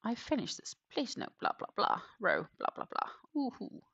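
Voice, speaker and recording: A woman's voice: on the high side, sing-song, normal speed, vocal fry, a bit bright, slightly rough, no echo, highs cut off. A woman hosting a crochet livestream, chatting casually with many ums and muttering her stitches as she works. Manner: chatty, rambling, with frequent ums and half-muttered stitch counting.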